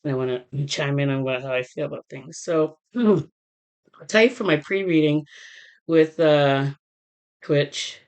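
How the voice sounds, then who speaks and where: A woman tarot reader talking casually to her viewers, halting with many fillers and restarts while getting over a cold. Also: low-pitched, a little mellow, clear, resonant tone. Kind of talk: casual rambling vlog talk.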